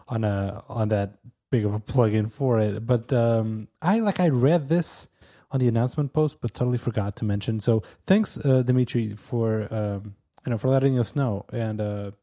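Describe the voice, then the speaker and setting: Mellow-voiced man, halting. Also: on the low side. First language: Portuguese. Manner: hesitant, full of fillers, chuckles often. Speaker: podcast co-host. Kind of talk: casual podcast chat.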